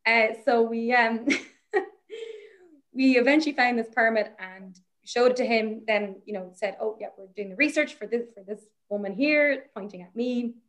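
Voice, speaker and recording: A woman's voice: on the high side, moderate pace, a bit bright. A young Irish woman researcher, chatting as a guest and telling a story in a rambling way, with plenty of laughter and fillers. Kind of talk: casual anecdote on a podcast.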